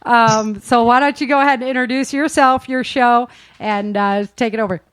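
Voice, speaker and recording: A woman's voice: a little high, steady tone, moderate pace, a bit bright, clean tone, dry recording. A woman hosting a casual live interview chat, talking in a chatty, effusive way as she introduces performers.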